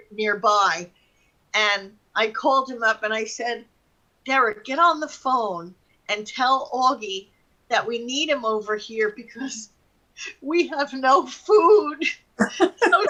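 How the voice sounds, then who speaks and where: A middle-aged woman with a forceful, bright voice pitched a little high. She tells personal anecdotes in loose, everyday language, with exclamations thrown in as she goes. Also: muffled recording. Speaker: talk show participant. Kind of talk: casual personal storytelling.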